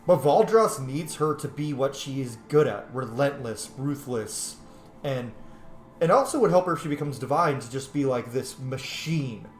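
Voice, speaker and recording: A man's voice: medium pitch, normal speed. Roomy-sounding man doing casual game commentary. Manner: informal, opinionated, swearing. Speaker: gaming host. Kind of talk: casual roleplay playthrough commentary. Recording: roomy echo, game music behind.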